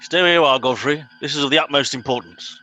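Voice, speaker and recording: A man's voice: on the high side, fast, sharp tone, soft background music. A man with an English accent playing a pompous, impatient middle-aged Home Guard captain in a comedy radio play. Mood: exasperated. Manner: officious, impatient.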